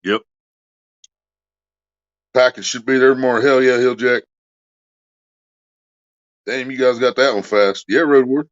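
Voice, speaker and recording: A man's voice: mid-range voice, fairly even intonation, a little slow, pauses often, lo-fi sound. A man hosting a casual livestream, cracking crude jokes and reading out donations. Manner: crude joking, reads donations aloud. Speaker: livestream host.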